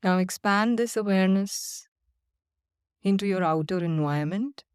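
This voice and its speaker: A woman guiding a body-scan and breathing meditation in slow, calm, soothing instructions with long pauses. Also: medium pitch, clear, resonant tone, dry recording, clean studio sound. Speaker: meditation guide. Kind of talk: guided meditation.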